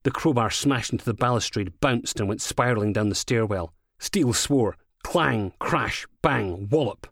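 A man's voice: mid-range voice, normal speed, hardly any pauses, a bit bright, breathy edge, no echo, studio quality. A Scottish man reading a scripted crime novel as its narrator. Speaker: author reading own audiobook.

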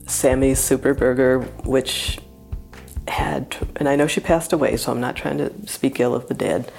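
A woman's voice: extremely low pitch, moderate pace, vocal fry, darkish tone, soft background music. A woman telling a funny anecdote in a dry, wry manner, sometimes dropping almost to a whisper. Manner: dry, wry storytelling, drops to near whispers. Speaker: community interviewee. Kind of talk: humorous anecdote.

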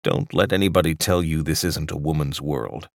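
A man's voice: rumbling low, normal speed, creaky, dry recording, studio quality. A man voicing a sour, peevish male clubman of about fifty, sardonic and lazy.

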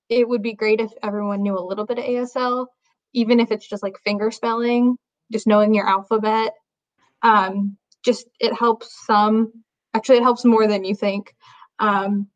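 Young woman rambling casually, lots of "like", slightly high, kinda muffled lo-fi audio. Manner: rambling, full of "like" and restarts. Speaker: guest, sign language teacher. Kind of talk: casual conversation. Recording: dull lo-fi audio.

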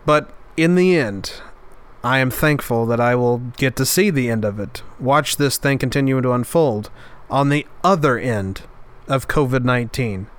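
A man with a nasal, congested voice, still stuffed up after an illness, delivers a reflective podcast monologue. He speaks earnestly and from the heart at a middle pitch. His voice stays smooth and resonant. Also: normal speed. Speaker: podcast host.

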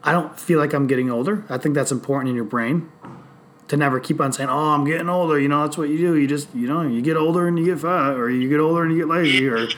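A man in his late thirties talking casually on a podcast, animated and swearing freely as he tells stories. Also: fairly high pitch, quick pace. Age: 30s. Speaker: podcast host.

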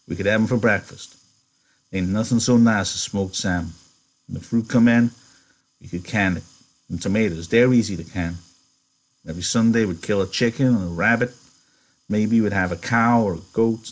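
A man's voice: lowish voice, natural voice, poor audio. A man voicing a ranch hand who describes a dream farm in folksy rural speech. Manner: folksy, rural turns of phrase. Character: wiry itinerant ranch hand, dreamy but guarded.

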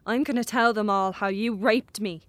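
A woman's voice: medium pitch, fairly even intonation, moderate pace, dry recording, close to an everyday voice. Woman playing a needy, pushy teenage boy. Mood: hurt, threatening. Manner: insistent, pushy. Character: needy, manipulative teenage boy, lonely and defiant. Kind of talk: naturalistic audio drama acting.

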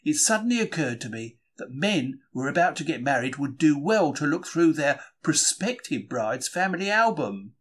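A gutsy working-class Cockney bookseller played by a man confides his wartime diary in a slightly raised pitch. The delivery is dramatised, colloquial and expressive, full of open emotion. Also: moderate pace, few pauses. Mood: brisk, wry. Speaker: reader.

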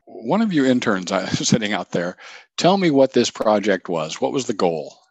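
A man interviewing program staff and students in a relaxed, friendly way. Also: fairly low pitch, normal speed, husky, dry recording. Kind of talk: conversational interview questions.

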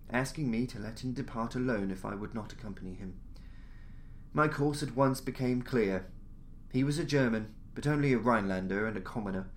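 A man reading a story aloud in a measured, formal, theatrical way, as a podcast narrator. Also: mid-range voice. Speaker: narrator, audiobook-style podcast reader. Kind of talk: dramatic scripted story narration. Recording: noticeable background noise, jungle-like ambience.

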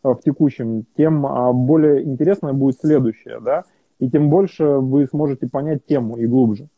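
A man's voice: medium pitch, normal speed, slightly rough, no echo. Hesitant man on a tinny line. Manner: hesitant, many fillers and restarts. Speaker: interview guest. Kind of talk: casual conversational interview.

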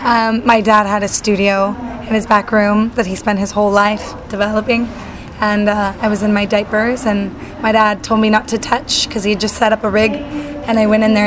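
A woman's voice: mid-range voice, noisy background. A young woman singer-songwriter from Salt Lake City chatting casually in an interview, bubbly and full of fillers. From Salt Lake City, Utah.

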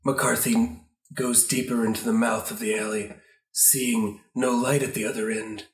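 A man narrating an improvised radio play in a rambling, halting way, often trailing off. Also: mid-range voice, little pitch movement, recorded from a distance. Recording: echoey room, off the mic.

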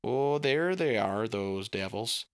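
A man's voice: lowish voice, expressive, slow, clean tone, no room sound, studio quality. A man voicing a folksy, overly sweet small-framed father who talks in homespun phrases. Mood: cheery folksy.

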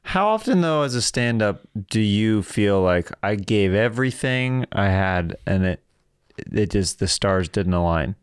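Male interviewer, lowish pitch, clean resonant tone, casual conversational delivery, comedian. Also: lively intonation, measured pace, clear, resonant tone, no room sound, clean studio sound.

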